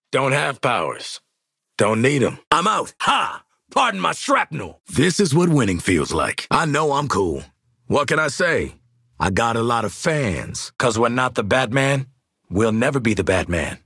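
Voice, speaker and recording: An actor playing a cocky, world-weary assassin in a video game, with a raspy American voice and a brash, streetwise, wisecracking manner. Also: male voice, mid-range voice, huge pitch swings, a little slow, pushed and bright, no room sound, clean studio sound, exaggerated voice. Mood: cocky, wry.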